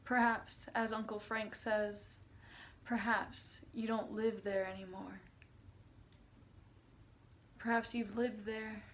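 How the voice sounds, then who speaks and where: A woman speaking as a spiritual healer and meditation guide, addressing the listener in a halting way with long pauses and drawn-out words. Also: mid-range voice, little pitch movement, a bit bright. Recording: noticeable background noise.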